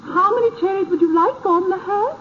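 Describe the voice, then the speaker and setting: High, polite shopgirl. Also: brisk. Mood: obliging. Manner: courteous, coaxing. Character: polite, patient, eager-to-please hat shop saleswoman. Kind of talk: 1930s radio comedy acting. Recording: tinny, hissy 1930s radio broadcast.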